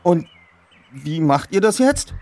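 A man's voice: on the high side, sing-song, takes it slowly, darkish tone, pitched above the performer's own voice, exaggerated voice. A man reading the part of a sad, withdrawn young boy in an audiobook. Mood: subdued, curious. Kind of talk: audiobook character dialogue.

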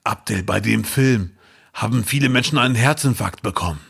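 A man's voice: fairly low pitch, brisk, creaky, a bit bright, breathy edge. A man co-hosting a podcast in casual chat, dropping dry jokes and short agreeing replies. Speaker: podcast co-host.

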